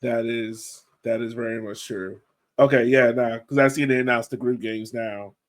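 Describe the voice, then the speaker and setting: A man's voice: medium pitch, steady tone, normal speed. A man speaking in an informal, colloquial way as he co-hosts a casual sports podcast. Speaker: podcast co-host.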